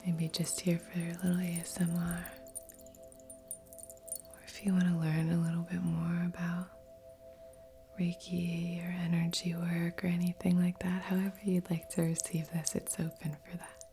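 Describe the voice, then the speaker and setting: A woman speaking in a soft, breathy whisper as a gentle, soothing host of an ASMR guided meditation. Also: on the low side, completely flat delivery, normal speed. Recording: soft music under voice. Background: background music.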